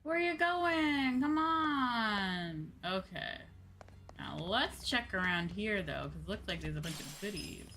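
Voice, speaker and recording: A woman's voice: medium pitch, animated, slow, bright, forceful tone, slight background noise. A woman doing casual, playful, sweary gameplay commentary as a streamer, often reading item names aloud, on a close microphone. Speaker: VTuber game streamer. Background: game music.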